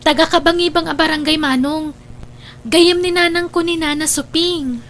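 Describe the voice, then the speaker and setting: A woman's voice: very high-pitched, fairly even intonation, moderate pace, a bit bright, a little hiss or hum. A woman playing a polite, obliging young village storekeeper with an Ilocano accent in a radio drama. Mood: helpful.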